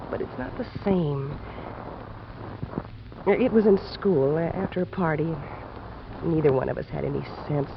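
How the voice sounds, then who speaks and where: Female, American, a resigned, troubled young woman; halting, intense vintage radio acting, muffled and noisy recording. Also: deep voice, vocal fry. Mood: halting, ashamed. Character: fatalistic, desperate young woman addict confessing. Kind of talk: 1950s radio drama acting.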